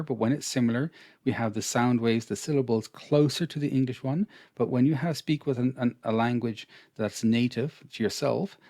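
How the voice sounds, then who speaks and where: A man with an Irish accent, an English teacher explaining a lesson over slides in a measured, patient manner. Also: medium pitch, dark tone, dry recording, clean studio sound. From Ireland.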